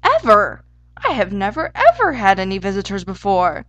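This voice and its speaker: A woman's voice: mid-range voice, very animated, measured pace, no room sound. A woman voicing a fussy, eager male storybook hare with a German accent, exclamatory and easily indignant. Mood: overjoyed. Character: excitable, fussy male Easter hare wearing pants. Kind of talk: storybook character voice.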